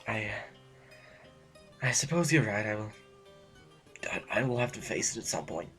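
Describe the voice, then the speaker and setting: An actor playing a fussy, timid, snobbish aristocratic politician in an audio drama, wordy and pompous with a stammer. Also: male voice, on the low side, big pitch swings, normal speed, fairly bright tone, soft background music. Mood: flustered.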